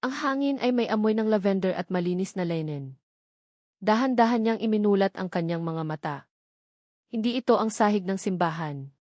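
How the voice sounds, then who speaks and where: A woman's voice: mid-range voice, lively intonation, moderate pace, darkish tone, no room sound, studio quality. A woman with a Filipino accent reading a scripted love story as a dramatic audiobook narrator.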